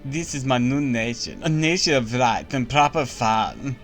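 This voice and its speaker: A man's voice: mid-range voice, lively intonation, unhurried. A vain, impish empress speaks with a put-on German accent, pompous and grandly theatrical, as a man puts her on. Her talk stays haughty, and faint game music plays behind her. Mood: grandly proud. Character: vain imperious empress, grown woman, impish and cruel. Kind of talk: voicing game dialogue on a stream.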